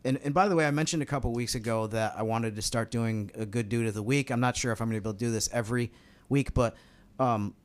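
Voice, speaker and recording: A man's voice: medium pitch, quick pace. An American man speaking as a sports podcast analyst in a casual, conversational style. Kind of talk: casual basketball talk.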